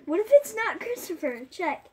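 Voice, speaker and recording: A young woman with a very high voice talks in a casual, off-the-cuff way. She sounds like a co-streamer chatting with a friend in a room. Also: sing-song, normal speed, slight background noise. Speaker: co-streamer making prank calls. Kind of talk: casual chat.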